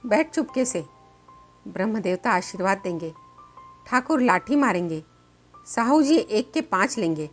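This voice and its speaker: A woman's voice: medium pitch, sing-song, unhurried, long pauses, soft background music. Woman voicing a bitter, resigned poor villager. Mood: bitter warning. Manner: cautioning, rhetorical.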